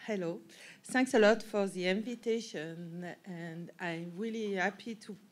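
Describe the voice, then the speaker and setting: French accented woman academic speaker, halting, restarts mid sentence, mid pitch voice. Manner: halting, frequent restarts and self-corrections. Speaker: professor and panel speaker. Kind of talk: academic conference talk.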